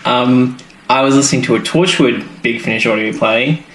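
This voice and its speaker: A man's voice: medium pitch, normal speed, crowd noise. A man co-hosting a podcast, chatting casually and rambling as he trails off mid-thought. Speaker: podcast co-host. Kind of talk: casual chat reviewing a TV serial. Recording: echoey room with background noise.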